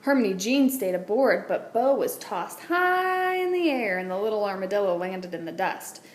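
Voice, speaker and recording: A woman's voice: medium pitch, very animated, moderate pace. A woman, a teacher, reading a children's storybook aloud indoors. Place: indoors at a wooden table, book on camera. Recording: roomy echo, clear background noise.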